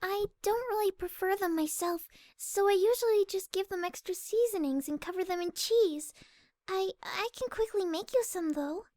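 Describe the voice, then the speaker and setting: A woman voicing a timid, gentle young female pegasus pony, soft-spoken, hesitant and polite, in a story reading. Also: extremely high pitch, moderate pace, dry recording, clean studio sound. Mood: apologetic, grateful. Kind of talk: fan audiobook character acting.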